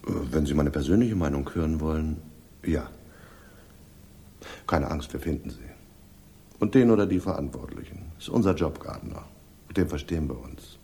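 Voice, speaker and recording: An actor playing a self-assured, pious police inspector in a radio crime drama, measured and condescending in his authority. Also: a bass voice, mellow, breathy edge, heavily acted. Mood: cool, warning. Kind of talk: 1960s German radio crime drama acting.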